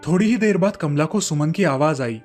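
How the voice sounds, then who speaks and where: Give the voice of a man telling a children's story from a script. His pitch sits a little high for a man. Light music plays softly behind him. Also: expressive, fairly quick. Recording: faint music under the voice. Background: soft background music.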